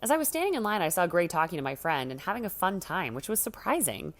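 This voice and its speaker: A woman reading a first-person teenage story aloud from a script, with dramatic, expressive delivery. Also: on the low side, quick pace. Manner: dramatic, acts out the dialogue. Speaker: story reader.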